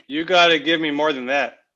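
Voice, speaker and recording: An imposing, impatient drug dealer in his thirties, played by a man, with a forceful, slightly high voice. He is curt and streetwise in a comedy table read, on a lo-fi recording.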